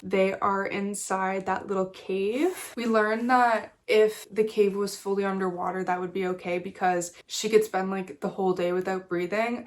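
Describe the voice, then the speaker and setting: A woman chatting casually about a book in a home reading vlog, a little nasal from being sick, filling her talk with "like". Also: medium pitch. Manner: chatty, fillers like "like", casual swearing. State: nasal from a cold. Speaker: book vlogger. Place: small reading corner at home.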